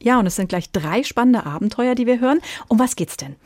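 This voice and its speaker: A woman's voice: fairly high pitch, animated, brisk, darkish tone, no echo, studio quality. A woman with a standard German accent presenting a radio programme, with scripted announcements and questions to a colleague. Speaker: radio host. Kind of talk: scripted presenting and studio dialogue.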